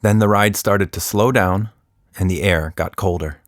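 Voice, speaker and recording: A man narrating a scripted children's story in an expressive, deliberate storytelling manner. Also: low voice, no room sound, clean studio sound. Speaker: podcast narrator.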